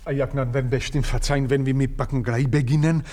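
Radio play servant , played by a man: fawning, formal, husky-voiced. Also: medium pitch, fairly even intonation, quick pace, mellow, natural voice. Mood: servile. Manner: obsequious, formal address. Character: stiff, servile older male manservant.

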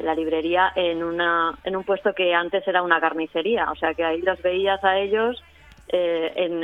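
A woman, a radio documentary producer, talking in an interview, chatty and laughing easily. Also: fairly low pitch. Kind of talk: interview talk. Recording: phone-like line.

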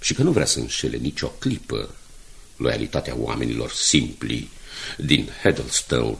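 An actor playing a proud, weary, middle-aged newspaper owner in a radio drama, courteous and measured, with emphatic turns. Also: male voice, rumbling low, animated, vocal fry, a bit bright, heavily acted. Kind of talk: 1980s Romanian radio drama acting.

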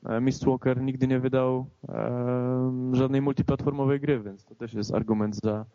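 A man explaining things haltingly in a casual video game discussion. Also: mid-range voice, little pitch movement, warm tone, dry recording. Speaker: podcast panelist. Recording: dull, dry lo-fi recording.

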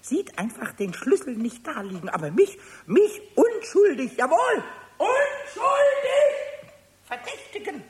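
An actor playing a cheeky, stubborn little male kobold in a 1970s radio play, talking in an excitable, stammering way. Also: takes it slowly, full character voice. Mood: indignant. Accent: Bavarian. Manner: squeaky, excitable, stammering repeats.

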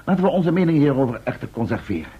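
A dull old radio play recording of a resolute, level-headed writer. He is very husky and talks fast, reasoning firmly in formal sentences at an ordinary male pitch. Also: sing-song. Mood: firm, agitated. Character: sober, cautious, resolute male writer, professor's companion. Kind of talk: Dutch radio play acting.

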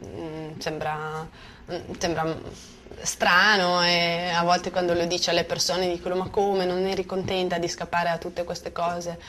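A woman's voice: on the low side, flat delivery, a little slow, fairly bright tone. A woman from Bosnia, a native Bosnian speaker, giving spontaneous personal testimony and hesitantly searching for words. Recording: faint background noise.

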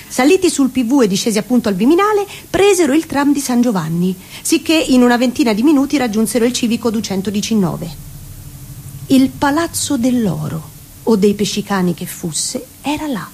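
A woman reading a literary story as theatrical narration, expressive and deliberate. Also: a little high, breathy edge. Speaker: actress reading a literary novel.

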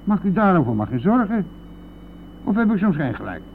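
A man's voice: sits high, sing-song, a little fast. Through a narrow, tinny old radio recording with faint vehicle noise comes a chatty, nosy driver. He talks in a crude, colloquial, insistent way, pressing his listener as in a Dutch radio drama. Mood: reassuring. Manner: garrulous, colloquial, chuckling, insistent. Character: chatty, nosy male driver, crude and jovial, pestering silent passenger. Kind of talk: Dutch radio play acting.

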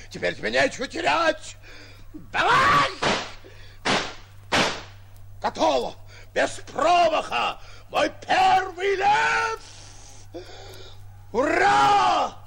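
A grandiose, cowardly would-be hunter in an old radio comedy. The voice swoops wildly from low to very high, creaky at times and drawn out, with theatrical flourish. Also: male voice, big pitch swings, takes it slowly, fairly bright tone, shouting, some chatter behind, slight background noise, heavily acted. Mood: triumphant. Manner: theatrical, stammers when frightened. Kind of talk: 1940s radio comedy acting. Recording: old 1940s radio recording.

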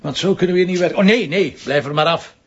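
An actor with a Flemish accent playing a blunt, sardonic police commissioner who makes dry remarks. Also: male voice, high voice, fast, husky. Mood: investigating. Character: blunt, wry middle-aged male police commissioner. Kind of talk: TV crime drama acting. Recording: dull lo-fi TV soundtrack.

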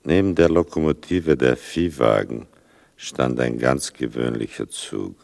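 Older male narrator: extremely deep, slow, scripted literary storytelling. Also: vocal fry.

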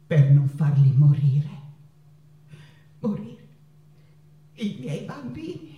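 An actress playing an anguished, passionate Mexican woman painter in a stage monologue, confiding haltingly and repeating words with dramatic emphasis. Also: low-pitched, sing-song, narrow, tinny audio, full character voice. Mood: bitter, possessive. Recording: echoey stage, distant mic, guitar behind.

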